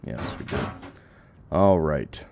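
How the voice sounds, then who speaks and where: A man giving casual gameplay commentary, thinking aloud in a halting, rambling way and swearing now and then. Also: rumbling low, expressive, creaky. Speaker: game streamer. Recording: audible background noise, clicks.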